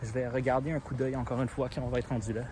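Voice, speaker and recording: Relaxed Quebec man over street traffic. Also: mid-range voice, very fast. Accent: Quebec French. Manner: relaxed, thinking aloud, plainspoken. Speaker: seeker in hide-and-seek vlog. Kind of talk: casual self-filmed vlog narration. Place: outdoors on city streets. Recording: phone recording with clear street traffic.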